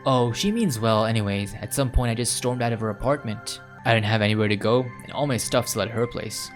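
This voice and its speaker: A man's voice: fairly low pitch, a little fast, soft background music, close to an everyday voice. A man voicing a chatty, flustered, scatterbrained young woman in a visual novel, rambling and gushing. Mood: indignant, rambling.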